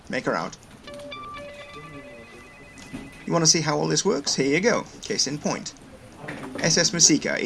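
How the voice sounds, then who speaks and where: A brisk space station logistics boss in a sci-fi audio drama. He talks in long, wry explanations, with a mid-pitched voice that swoops up and down in a lively way. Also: creaky.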